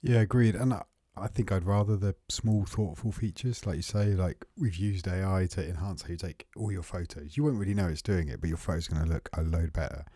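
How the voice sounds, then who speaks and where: A deep-voiced man co-hosts a tech podcast in a relaxed, thoughtful way, pausing with frequent ums. His voice is mellow and slightly husky, and it sits dry and close to the mic. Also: dry recording. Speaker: podcast co-host. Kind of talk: casual tech chat.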